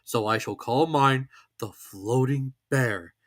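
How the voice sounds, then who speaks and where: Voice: mid-range voice, takes it slowly, close to an everyday voice. Growly little storybook bear, played by a narrator: simple, earnest, proud, slightly ponderous. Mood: pleased.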